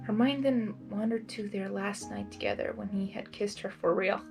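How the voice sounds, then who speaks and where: A woman reads a story aloud and adds expressive, rambling casual reactions. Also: mid-range voice, normal speed, gravelly, over music. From Hungary. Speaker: fan fiction reader and commentator. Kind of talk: blind reading with casual reaction commentary.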